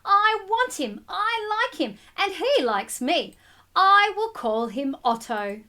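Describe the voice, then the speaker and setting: A woman voicing an eager, anxious young boy from a storybook, excitable and pleading about his pet. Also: very high-pitched, slow, pitched above the performer's own voice, heavily acted.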